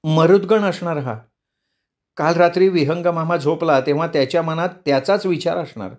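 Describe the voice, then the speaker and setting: A man's voice: on the high side, moderate pace, voice pushed up, natural voice. A man voicing a jittery frightened young woman. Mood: anxious. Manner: fretful, pleading.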